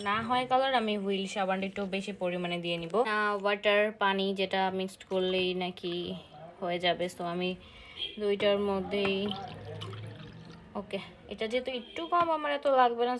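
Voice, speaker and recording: A young woman with a Bangladeshi accent talks in a casual, excited, chatty vlog style, mixing in English. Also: medium pitch, normal speed. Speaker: vlogger. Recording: noticeable background noise, clicks.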